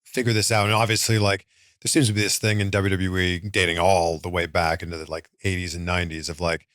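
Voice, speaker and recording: Low-pitched male interview host. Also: level tone, fast, a bit bright, dry recording, studio quality. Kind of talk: casual interview questions. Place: studio.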